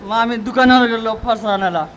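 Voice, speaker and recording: A man's voice: extremely high pitch, fairly even intonation, fast, fairly bright tone, muffled recording, a little hiss or hum. A young man on an amateur stage playing a hapless errand-runner who stalls with repetitive explanations in a comic play. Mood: stalling, earnest. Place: school stage event, live telecast.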